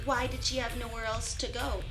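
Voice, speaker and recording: High-voiced, earnest young spaceship newcomer played by a woman. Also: flat delivery, moderate pace, music in the background, natural voice. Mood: puzzled. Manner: inquisitive, earnest. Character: young female crew newcomer, earnest, questioning, caring. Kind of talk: audio drama acting.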